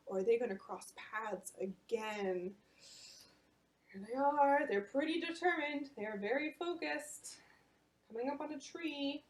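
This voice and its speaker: A woman librarian reading a picture book aloud at bedtime storytime and explaining it to children in an animated way. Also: medium pitch.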